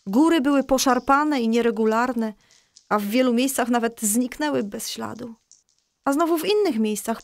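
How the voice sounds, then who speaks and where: An actress playing a patient mother explaining Bible stories in a measured way in a children's audio drama. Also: high-pitched, no room sound.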